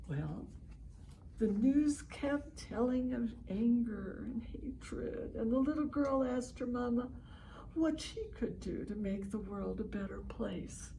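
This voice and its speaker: A woman hosting a children's storybook read-aloud, speaking in an encouraging, expressive way and pausing to ask young listeners questions. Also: a little high, vocal fry, a little hiss or hum, rough recording. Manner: encouraging, expressive, lingers on phrases and prompts listeners. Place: at home, online session.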